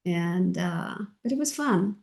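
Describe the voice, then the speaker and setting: A middle-aged woman from Ireland with a softened Irish accent, chatting as an interview guest in a gentle, reflective way. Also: lowish voice, expressive, takes it slowly. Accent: Irish, softened by years in Texas. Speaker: guest, author and audiobook narrator. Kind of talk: casual interview chat.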